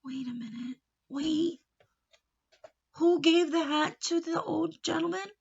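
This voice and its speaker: A woman reading a picture book aloud to children, expressive, with questioning and explanatory asides. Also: sits high, slow, vocal fry, highs cut off. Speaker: storybook reader teaching children.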